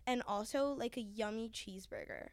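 A woman's voice: fairly high pitch, moderate pace, no room sound. A nineteen-year-old woman from Haiti, a podcast guest chatting casually, giggling and saying "like" often. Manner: giggly, chatty, lots of filler likes.

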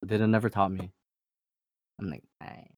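A young man in his twenties, a guest on a podcast, chatting in an excitable, slangy way. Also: fairly low pitch, normal speed, noisy background. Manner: excitable, slangy, laughs often. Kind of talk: casual chat.

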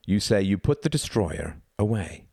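A man voicing a stern, grimly controlled adult man who talks in a firm, measured, authoritative way in a story. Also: lowish voice, very animated, creaky, warm tone, no echo, clean studio sound, full character voice.

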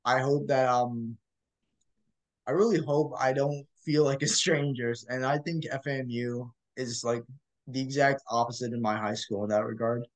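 A young college man from Michigan co-hosting a casual student talk show. His voice sits at a middle pitch, and he rambles, dotting his sentences with "like" and "um.". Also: steady tone, normal speed, poor audio. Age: young adult. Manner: rambling, full of "like" and "um", laughs easily. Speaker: co-host. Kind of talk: casual chat.

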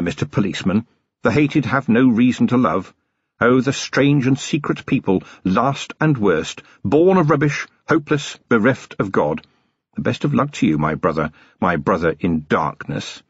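A man voicing a dark spirit in an audiobook, taunting and incantatory with a theatrical delivery. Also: medium pitch, very animated, moderate pace, slightly rough, dry recording, lo-fi sound. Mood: ominous, mocking. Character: mocking dark spirit whispering inside a policeman's head.

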